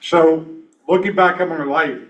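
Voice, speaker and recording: A man in his sixties hosting an event, talking to the audience in a brisk, folksy, self-deprecating way. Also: on the high side, fairly even intonation, a bit bright, far from the mic, dull audio. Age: 60s. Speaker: event host and speaker. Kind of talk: lively stage talk to an audience.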